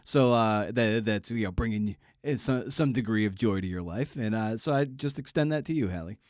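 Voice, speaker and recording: A man's voice: lowish voice, normal speed, darkish tone, no room sound, clean studio sound. A North American man hosting a casual podcast interview, informal and a little rambling, repeating words as he goes. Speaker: podcast host.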